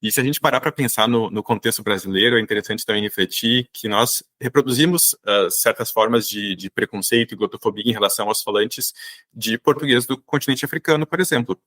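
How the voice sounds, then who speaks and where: A Brazilian man hosting an interview, speaking in a thoughtful, measured way with a few hesitations. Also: lowish voice, a bit bright, dry recording. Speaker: podcast host. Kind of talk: conversational interview.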